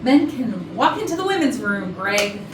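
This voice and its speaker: A woman's voice: medium pitch, sing-song, normal speed, lightly acted. A woman playing a sarcastic, defensive HR manager suspected of murder in a staged comedy reading. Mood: sardonic. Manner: sardonic, indignant. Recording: echoey, distant, noisy rehearsal recording.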